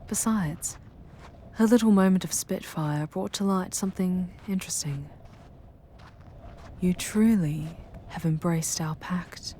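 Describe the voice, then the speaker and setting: A charming female demon , acted by a woman, guides a wanderer in an ASMR fantasy roleplay. Her low voice moves slowly and intimately, proud but tender, often softening to a breathy whisper. Faint ambient noise sits behind her.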